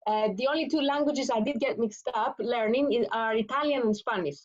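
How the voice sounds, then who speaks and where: A woman answering interview questions in English, measured and thoughtful with some ums. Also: fairly high pitch. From Greece. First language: Greek. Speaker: guest translator. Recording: muffled call audio.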